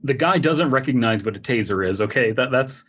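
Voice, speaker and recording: A man co-hosting a podcast in casual comedic chat, telling stories with animated, mock-serious exaggeration. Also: mid-range voice, brisk, a little hiss or hum. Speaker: podcast co-host.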